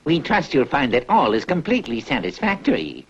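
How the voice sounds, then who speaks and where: Man acting a lilting, flattering swindler. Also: medium pitch, fairly quick, muffled recording, a little hiss or hum. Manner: salesman-like, mock-courteous. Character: smooth-talking swindler weaver flattering his royal marks. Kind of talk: satirical fairy tale dramatization.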